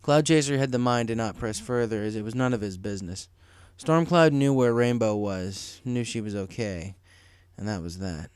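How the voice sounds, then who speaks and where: A man's voice: fairly low pitch, moderate pace, few pauses, a little mellow, clear, resonant tone, dry recording. A man narrating a scripted story as an audiobook reader, in a dramatic storytelling manner. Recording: music behind the voice.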